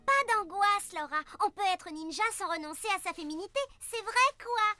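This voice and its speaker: A woman's voice: extremely high pitch, animated, moderate pace, full character voice. A woman voicing a bubbly, girlish young girl in a French cartoon, chirpy and showy. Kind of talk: French cartoon dub acting. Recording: loud music underneath.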